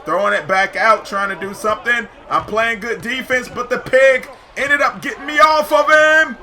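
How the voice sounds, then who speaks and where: A man giving excited, slangy live commentary over basketball video game play, shouting at big plays, his voice getting hoarse. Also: very high-pitched, normal speed. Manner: slangy, says "yo" often. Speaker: gaming YouTuber.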